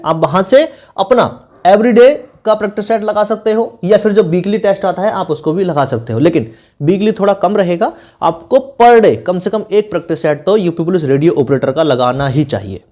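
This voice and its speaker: Online coaching teacher, middle pitch, instructive and repetitive, informal advice delivery. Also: a little mellow. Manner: repetitive, instructive, frequent check-in tags. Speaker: online exam-prep teacher.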